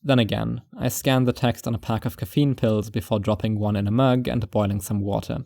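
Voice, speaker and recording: Male actor as reclusive, paranoid first-person narrator for audio fiction. Low, dry close sound, musing and wry. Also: steady tone, a little fast, vocal fry, mellow, no echo. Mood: reflective.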